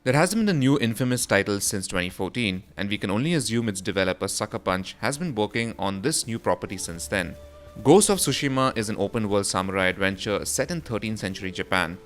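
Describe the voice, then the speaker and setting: A man's voice: on the low side, expressive, fairly quick, fairly bright tone. A man reading a scripted voice-over for a gaming news roundup, in the role of presenter. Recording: music behind the voice. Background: background music.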